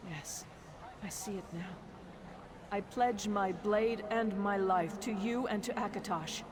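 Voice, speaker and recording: An actress playing a hard, devoted assassin with a dark past in a fantasy game, speaking intensely and dramatically. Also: female voice, medium pitch, measured pace, natural voice. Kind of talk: scripted fantasy game dialogue. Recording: loud ambient noise behind.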